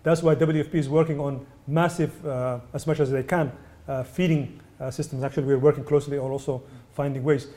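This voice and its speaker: A man from Sudan, a UNICEF representative, answering press questions in a measured way with many hesitations. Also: on the high side, steady tone, warm tone. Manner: measured, many ums and uhs, restarts. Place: indoor venue.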